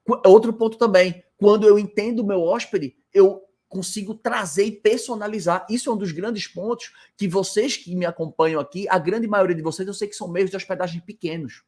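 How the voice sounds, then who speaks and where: A man's voice: high voice, fairly even intonation, fairly quick, darkish tone. A man with a Brazilian Portuguese accent who teaches informally to camera from indoors in a rental house, explaining a point and then answering his own rhetorical questions. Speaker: hospitality business coach.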